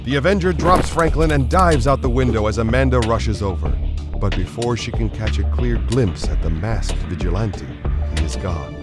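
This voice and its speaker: A man's voice: lowish voice, animated, normal speed, music in the background. A man narrating a radio play in a scripted, dramatic style.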